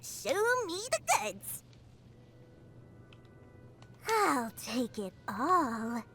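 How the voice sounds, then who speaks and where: A woman's voice: high voice, big pitch swings, very slow, vocal fry, noisy background, full character voice. A woman voicing a gleeful, greedy little girl merchant with a sing-song manner in a video game. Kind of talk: video game character voice lines.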